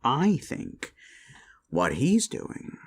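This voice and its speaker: A man playing an obsessive, possessive boyfriend in an ASMR roleplay, creepily sweet and theatrical, coaxing with pauses and drifts into whispers. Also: mid-range voice, huge pitch swings, slightly rough, full character voice. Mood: suspicious, sweet.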